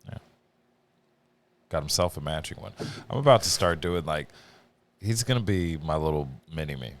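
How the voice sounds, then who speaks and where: Very deep, gravelly-voiced man. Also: moderate pace. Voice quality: deep radio voice. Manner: relaxed, swearing, rambling with restarts. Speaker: podcast guest. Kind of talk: casual chat.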